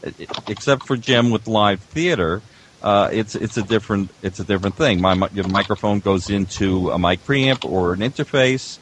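An older man chatting as a veteran audio producer, explaining things in a halting, rambling way. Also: on the low side, slight background noise. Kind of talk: casual panel discussion of audio production.

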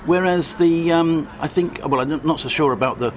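An older man, a longtime journalist, answering interview questions hesitantly, with many pauses and restarts and a wry edge. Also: on the high side, soft background music. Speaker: journalist guest.

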